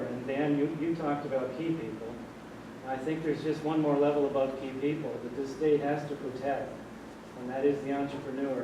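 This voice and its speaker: Soft-voiced guy in his 60s rambling at a panel, heard from far off, boomy hall, hissy. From Vermont. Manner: soft-spoken, rambling, many fillers. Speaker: audience member at a panel. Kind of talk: public comment at a panel discussion. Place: large hall. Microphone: distant room mic. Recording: echoey hall, distant noisy mic.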